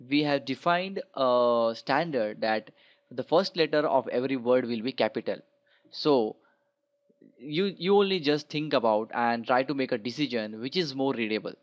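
A man narrating a slideshow tutorial as a programming instructor. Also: mid-range voice. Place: voice-over for a screen recording. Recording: dull lo-fi voice recording.

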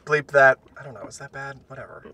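A man's voice: mid-range voice, fast, bright, forceful tone. A man in his twenties chatting casually in a joking, rambling way, as a vlogger in a car. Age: 20s. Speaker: vlogger and host. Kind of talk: casual chat. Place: inside a parked car. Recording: a bit distant, some background noise.

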